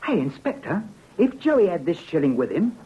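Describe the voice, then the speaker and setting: Eager suspect, badly husky voice, animated pitch, muffled old-time radio. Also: male voice, moderate pace, exaggerated voice. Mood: eager recall. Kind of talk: 1950s radio drama acting.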